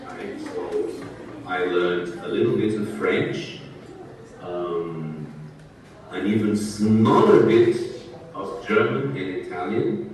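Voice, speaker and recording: A Brazilian man in his sixties, a native Portuguese speaker, talking slowly and clearly in English to students, often checking that he is understood. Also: fairly low pitch, a little hiss or hum. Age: 60s. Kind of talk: talking to students in a language class. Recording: echoey, distant room sound.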